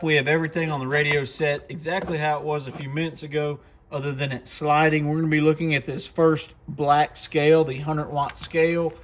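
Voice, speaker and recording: Slightly high-voiced man, noisy shop recording. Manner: informal, unscripted, explanatory. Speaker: radio shop host demonstrating a CB radio. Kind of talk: casual product walkthrough. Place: radio shop. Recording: clear background noise with radio clicks.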